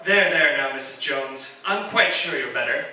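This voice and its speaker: Man acting soothing doctor, bright echoing voice. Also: fairly high pitch, animated, normal speed. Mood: reassuring. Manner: soothing, coaxing. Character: patient, kindly, reassuring family doctor. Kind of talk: radio play acting. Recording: echoey hall from far off, faint noise.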